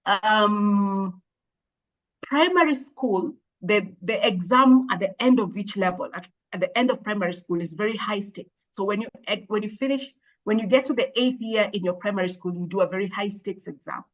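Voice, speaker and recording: A woman, an education expert, answering interview questions in a measured, thoughtful way with frequent hesitations and restarts. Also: medium pitch, lo-fi sound. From Kenya. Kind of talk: explaining in an interview.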